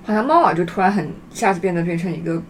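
A woman's voice: fairly low pitch, animated, rapid-fire, slight background noise. A woman hosting a casual podcast discussion.

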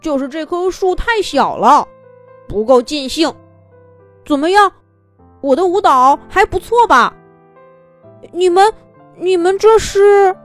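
A woman voicing a shy, cheerful little boy bear cub in a children's story, talking slowly and a bit out of breath. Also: extremely high pitch, animated, soft background music, voice pushed up, full character voice. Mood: pleased, proud. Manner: slow, breathy, eager to please.

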